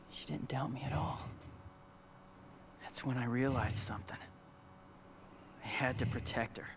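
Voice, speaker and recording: An actor playing a brash, protective young adventurer and devoted brother in a video game, with a casual, blunt, youthful manner. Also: male voice, mid-range voice, noisy background, lightly acted. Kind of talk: video game cutscene acting.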